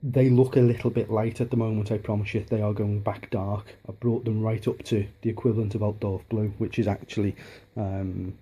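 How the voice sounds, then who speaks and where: A man with a softened Liverpool accent talks through his hobbies on a handheld camera in his dining room. His voice is low, husky and mellow, and he rambles, circling back with plenty of ums and restarts. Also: little pitch movement, fairly quick, hardly any pauses. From Liverpool, England. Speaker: hobbyist vlogger. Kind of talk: casual home video chat.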